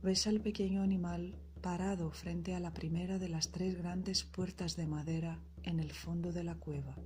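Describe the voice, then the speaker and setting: A woman narrating a scripted guided meditation in a soothing, soft, breathy and unhurried manner. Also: on the low side, steady tone. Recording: loud percussive meditation music behind voice.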